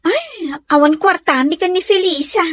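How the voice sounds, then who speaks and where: An affectionate young student in a Filipino radio drama. Her voice is high and sweet, with a Filipino accent. The sound is dry and close. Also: lively intonation, normal speed, no room sound. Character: sweet grateful young female student in love.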